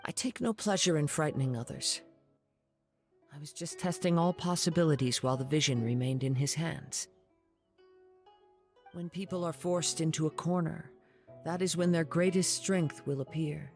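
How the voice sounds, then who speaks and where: A man playing a calm, reflective young wandering samurai in a video game, speaking in measured, formal, poetic phrases. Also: a little high, creaky. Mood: calm, thoughtful. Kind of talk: video game story dialogue and narration. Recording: music behind the voice.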